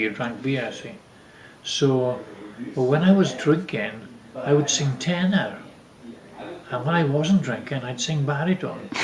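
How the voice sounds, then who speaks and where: Older male, mid-pitched, unhurried family raconteur with halting, rambling delivery; echoey room sound. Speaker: father telling family stories. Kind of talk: casual reminiscing.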